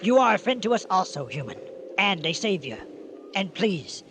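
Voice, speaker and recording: A man's voice: high-pitched, very animated, moderate pace, a bit bright. A man voicing a solemn alien leader with stilted, precise, formal speech in a comic science fiction radio play. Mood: solemn gratitude. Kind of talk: comic sci-fi radio acting. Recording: noisy radio drama recording.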